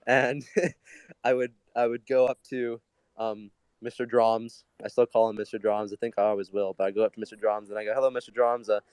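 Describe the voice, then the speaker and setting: A man's voice: on the low side, level tone, brisk, a little mellow, clean tone, dry recording. A young man speaking as a guest in an informal group chat, earnest and grateful. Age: teens to young adult.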